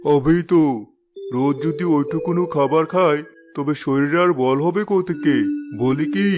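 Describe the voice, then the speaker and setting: A woman's voice: deep voice, moderate pace, natural voice. A woman voicing a village doctor uncle in a cartoon, matter-of-fact and advising. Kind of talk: Bengali cartoon voice acting. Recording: music behind the voice.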